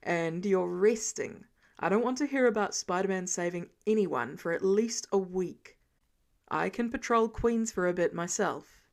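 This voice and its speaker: Woman voicing a gruff, lowered-voice vigilante man. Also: measured pace, lightly acted. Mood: stern, protective. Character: masked vigilante man in his thirties, gruff, protective.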